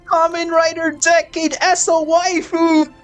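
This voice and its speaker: A woman's voice: very high-pitched, level tone, measured pace, soft background music. A woman streaming a game, commenting on the story and reading dialogue aloud with dramatic expression. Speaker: gaming streamer.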